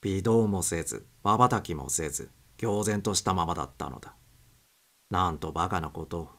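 A man's voice: low-pitched, normal speed, fairly bright tone. A man reading suspense fiction aloud as scripted audiobook narration.

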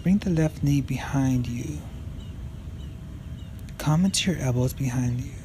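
A man in his thirties guiding a yoga stretching session with slow, calm step-by-step cues. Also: medium pitch, noisy background. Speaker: yoga instructor. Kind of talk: guided yoga instruction.

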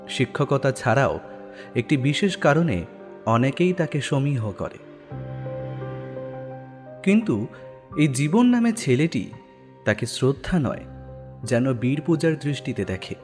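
A man's voice: fairly high pitch, very animated, a little slow, long pauses, darkish tone. A man narrating a scripted audio story in a dramatic style. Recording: long studio reverb, background music.

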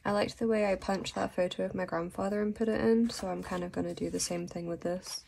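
A young woman narrates in a hoarse, gravelly voice, worn down by illness. Her tone is dark and mellow, soft and unhurried, with a faint hiss behind her. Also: medium pitch, steady tone. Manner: soft, unhurried and rambling with little laughs. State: sick and losing her voice. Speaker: vlogger. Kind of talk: casual voice-over journaling narration.

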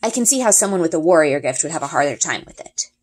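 A woman's voice: low voice, expressive, fast talker, husky. A woman reads as an eager young girl from a children's storybook. She is confident and casual, with raised, bouncy intonation that swings widely.

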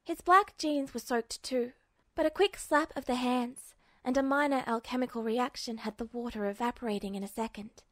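A woman's voice: fairly high pitch, normal speed, fairly bright tone, no echo. A woman reading a story aloud as a scripted fiction narrator. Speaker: audiobook narrator.

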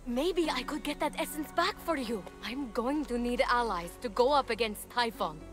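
A woman voicing an eager, slightly awkward young mortal heroine in a fantasy video game, earnest and excitable. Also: a little high, normal speed, soft background music, close to an everyday voice. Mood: helpful, earnest. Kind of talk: video game character acting.

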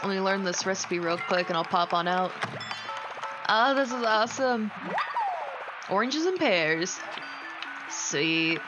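A woman giving casual, expressive commentary as she streams a video game, gushing and talking in slangy, sweary language. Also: mid-range voice, takes it slowly, long pauses, low-quality recording. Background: game music.

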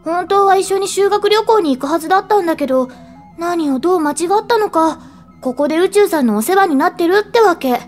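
A woman voicing an overlooked, ordinary schoolgirl, eager and easily sulky, in an anime audio drama with a girlish, expressive delivery. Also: extremely high pitch, fairly quick, soft background music. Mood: cheerful.

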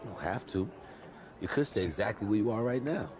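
Casting: male actor as middle-aged sports agent, deep voice, calm mentor with dry wit, game cutscene with music behind. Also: a little fast, close to an everyday voice. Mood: calm, wry. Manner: assured, advisory, plain-spoken. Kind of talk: naturalistic video game cutscene acting.

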